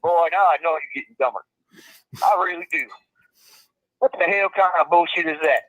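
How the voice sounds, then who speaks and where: A man's voice: a little high, a little fast, fairly bright tone, no room sound, natural voice. A man putting on a foul-mouthed, blustering, hot-tempered trucker who is calling in to a live stream over the phone. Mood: irritated. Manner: profane, blustering, threatening, drawling. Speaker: phone-in guest. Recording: muffled phone line.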